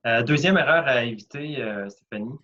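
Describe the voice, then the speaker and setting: A man's voice: medium pitch, expressive, normal speed, sharp tone. A man with a Québécois French accent, hosting a casual interview, agreeing with his guest and summarizing her points with some hesitation. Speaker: host. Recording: dull lo-fi audio.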